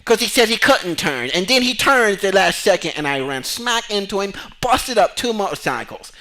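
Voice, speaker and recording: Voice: mid-range voice, moderate pace. A performer playing an exasperated male motorcycle instructor who speaks broken English, in a comedy sketch interview. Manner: broken English with dropped articles, excitable.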